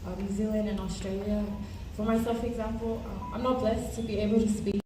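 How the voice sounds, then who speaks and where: A woman, a singer, answering at a press conference in a soft-spoken, earnest way. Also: medium pitch, little pitch movement, fairly quick, noisy background. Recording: very echoey room, distant mic.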